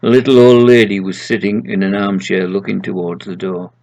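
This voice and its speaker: Gravelly man reading a story aloud. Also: fairly low pitch, level tone, a little fast, a little mellow, no echo, muffled recording. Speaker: author reading his own story.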